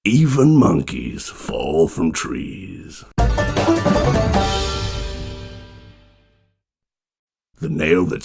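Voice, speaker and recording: An actor playing a mocking, sage-like animatronic beast in a horror game, with a very deep, gravelly voice. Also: male voice, exaggerated voice. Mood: sinister, sage-like. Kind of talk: villain lines from a horror game.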